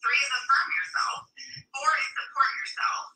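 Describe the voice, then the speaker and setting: A woman's voice: high voice, fairly even intonation, normal speed, pushed and bright, a little hiss or hum. A woman guest talking animatedly over a video call, often acting out her own inner voice. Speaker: author and guest. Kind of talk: casual interview chat. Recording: distant echoey video call audio.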